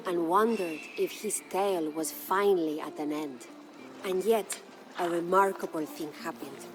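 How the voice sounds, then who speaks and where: An actress playing a devoted, sorrowful medieval Spanish noble wife who narrates her husband's legend in a solemn, measured way for a game. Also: on the low side, noisy background, natural voice. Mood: bitter, mournful, then hopeful, solemn. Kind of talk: scripted dramatic storytelling narration. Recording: studio narration over prominent music.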